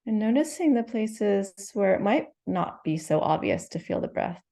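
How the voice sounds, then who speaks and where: A woman leading a guided meditation, speaking slowly and gently with soothing instructions and long pauses. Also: mid-range voice, sing-song. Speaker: meditation teacher.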